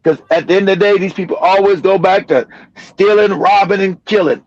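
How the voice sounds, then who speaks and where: A man hosting a livestream, talking in an animated, emphatic way, swearing and repeating himself. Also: sits high, fairly quick, dry recording. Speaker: livestream host. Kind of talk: heated livestream commentary. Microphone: streaming mic. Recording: dry, dull lo-fi stream audio.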